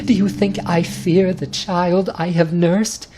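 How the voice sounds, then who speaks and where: A man's voice: high-pitched, flat delivery, takes it slowly, pitched above the performer's own voice. A man voicing a desperate, grief-stricken old mother, breathless and urgent, in a dramatic radio-style reading. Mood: commanding.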